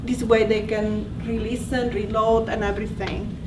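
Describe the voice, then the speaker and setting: A woman's voice: a little high, little pitch movement, moderate pace. A woman with an Italian accent, a language teacher presenting to colleagues in a conversational way, explaining patiently and often restarting her sentences. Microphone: distant room mic. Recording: echoey room from far off with background noise.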